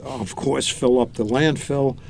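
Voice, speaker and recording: A man co-hosting a talk show, chatting in a relaxed way and reminiscing about the old days. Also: medium pitch, measured pace, gravelly, slight background noise. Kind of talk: casual interview chat.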